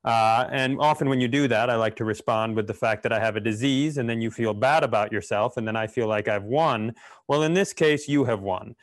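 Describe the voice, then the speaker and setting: A man's voice: medium pitch, a little fast. A man co-hosting a comedy podcast, chatting casually in a self-deprecating, rambling way. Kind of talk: casual comedic banter and announcements.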